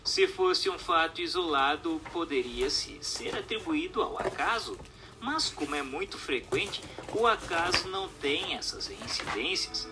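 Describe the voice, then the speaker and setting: A man's voice: on the high side, very animated, a little slow, over music. A man with a Brazilian accent reading a formal, didactic text aloud as scripted narration.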